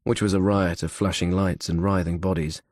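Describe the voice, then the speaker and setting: Male audiobook narrator for suspense fiction, very low register, close dry sound. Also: fairly even intonation, normal speed, darkish tone, no room sound. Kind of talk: scripted thriller narration.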